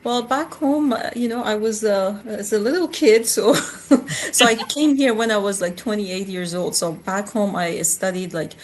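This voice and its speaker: A woman's voice: mid-range voice, a little slow, slight background noise, poor audio. A woman, telling her story as an interview guest, talking with many fillers and restarts. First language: Farsi. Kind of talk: casual interview.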